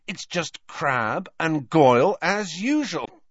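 An eager, headstrong teenage boy wizard from a storybook, acted out by a male reader. The delivery is insistent and very animated in pitch, on a dry, slightly dull recording. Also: slow pace, breathy edge, dry recording, heavily acted. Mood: certain.

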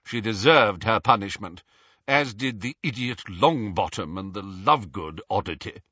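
A man's voice: fairly low pitch, animated, normal speed, bright, forceful tone, breathy edge, no room sound, dull audio, exaggerated voice. A man voicing a haughty old male professor in a talking portrait from a storybook, with a pompous, disdainful and formal manner. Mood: haughty, demanding.

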